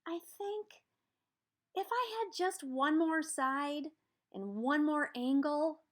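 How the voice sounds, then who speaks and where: A woman's voice: high voice, animated, takes it slowly, voice pushed up. A woman voicing a restless, dissatisfied but eager talking shape in a children's storybook. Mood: hesitant, wishful. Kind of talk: children's storybook read-aloud.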